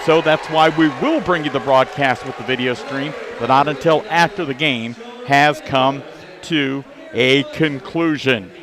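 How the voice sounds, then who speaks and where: A man's voice: medium pitch, measured pace. A man hosting a live local sports radio broadcast from a gymnasium, with an announcer-like delivery. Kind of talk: live pregame sports broadcast with sponsor reads. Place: indoor high school basketball gymnasium. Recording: busy gym crowd behind the voice. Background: crowd and bouncing basketballs.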